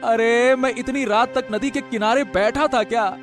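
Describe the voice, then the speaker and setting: Very high-pitched lamenting rustic brother. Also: male voice, unhurried, faint music behind. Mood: plaintive alarm. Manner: plaintive, folksy, colloquial. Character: lamenting rustic elder brother, simple and excitable. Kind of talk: melodramatic storybook audio drama.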